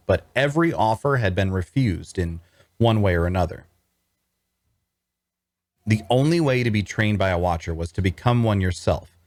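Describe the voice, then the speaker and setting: Man as an earnest traveler, low dry storytelling voice. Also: no echo. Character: earnest, cash-strapped young male traveler telling his tale. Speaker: narrator and lead actor in a table read. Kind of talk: first-person fantasy narration and dialogue.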